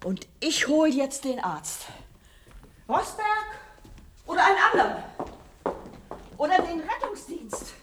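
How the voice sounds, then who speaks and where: A woman's voice: high-pitched, very animated, slow pace, long pauses, a bit bright, full character voice. An actress playing a defiant, desperate older mother in a radio drama, brusque and indignant. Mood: brusque, impatient. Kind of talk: German radio drama acting. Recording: roomy, distant, noisy radio drama recording.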